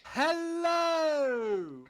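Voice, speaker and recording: A man reading fan fiction aloud on a stream in a comic dramatic style, swearing and halting over misspelt words. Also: very high voice, big pitch swings.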